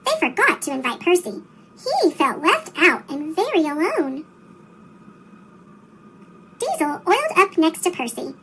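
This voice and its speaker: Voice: extremely high pitch, big pitch swings, a little slow, pauses often. A narrator reading a children's storybook aloud in a sped-up chipmunk voice. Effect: sped-up chipmunk voice effect. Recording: clearly noisy recording.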